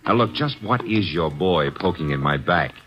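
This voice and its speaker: An actor playing a breezy, sarcastic detective's assistant who also narrates a 1950s radio drama. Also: male voice, low voice, lively intonation, normal speed, highs cut off, background noise. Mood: wisecracking.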